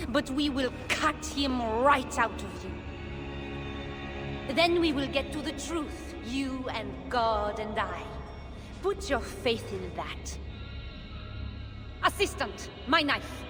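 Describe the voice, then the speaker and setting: Fanatical holy zealot, female, high-pitched, grandiose, cruel, menacing, video game, music behind. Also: animated. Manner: menacing, grandiose, slow and deliberate. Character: fanatical cruel female religious zealot interrogating a captive queen. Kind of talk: dramatic video game acting. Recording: long hall reverb, loud music behind.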